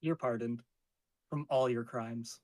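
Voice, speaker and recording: A man's voice: medium pitch, steady tone, takes it slowly, mellow. A man chatting casually and jokingly as a player in an online card game. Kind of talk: casual, joking table chat.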